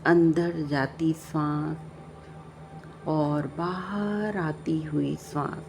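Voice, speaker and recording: A woman narrating a guided meditation, giving calm, measured instructions with long pauses. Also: deep voice, vocal fry, slight background noise. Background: background music.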